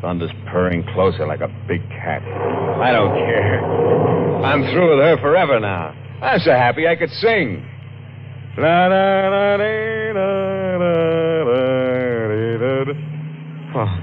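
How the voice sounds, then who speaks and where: An actor playing a haunted, guilt-ridden wife killer in an overwrought, intense 1950s radio thriller style. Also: male voice, mid-range voice, big pitch swings, slow pace. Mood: brooding dread, then manic glee. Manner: overwrought, intense dramatic delivery. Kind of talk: 1950s radio thriller acting, first-person narration. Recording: muffled, noisy old radio recording.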